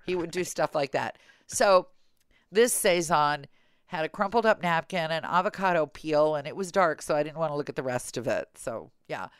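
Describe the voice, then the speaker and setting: A woman's voice: sits low, lively intonation, moderate pace, clean tone, dry recording, clean studio sound. A middle-aged woman podcast host telling humorous stories in casual chat, with a wry manner given to playful exaggeration.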